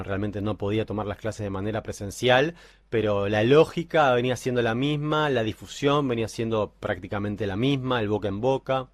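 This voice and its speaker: A man's voice: lowish voice, dry recording. Hesitant Buenos Aires man in his forties, dry sound. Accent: Buenos Aires Argentine. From Buenos Aires, Argentina. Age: 40s. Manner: hesitant, repeats words while thinking aloud. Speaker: guitar teacher and musician interviewed as a client. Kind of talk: conversational interview answers.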